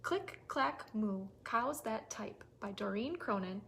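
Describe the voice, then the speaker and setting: A woman hosting a toddler story time, reading aloud with expressive, simple phrasing for small children. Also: medium pitch, slow pace, gravelly. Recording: noisy recording with clicks.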